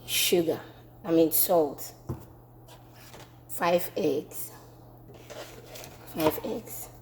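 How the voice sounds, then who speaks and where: A woman with a Ghanaian accent demonstrating a home recipe in her kitchen, relaxed and repeating herself as she works. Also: deep voice, drawn-out words, slight background noise. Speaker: home cooking vlogger. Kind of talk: casual cooking demonstration.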